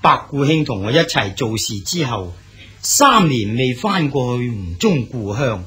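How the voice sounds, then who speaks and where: An actor playing a cultured Song dynasty poet and prefect, speaking Cantonese and reciting verse slowly. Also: male voice, mid-range voice, big pitch swings, a bit bright, husky, exaggerated voice. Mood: genial. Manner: measured, declaims poetry slowly. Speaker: Su Dongpo character in a radio drama. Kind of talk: 1980s style Cantonese radio drama acting.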